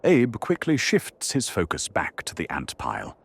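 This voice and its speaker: Deep, husky male dramatic narrator. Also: huge pitch swings, a little slow.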